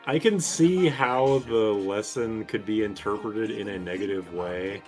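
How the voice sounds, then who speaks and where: A man with a very low voice chats through a video game in a casual, rambling way. He swears freely and plays the streamer. Game music runs clearly behind him. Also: a little slow, a little mellow. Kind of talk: casual gameplay commentary chat.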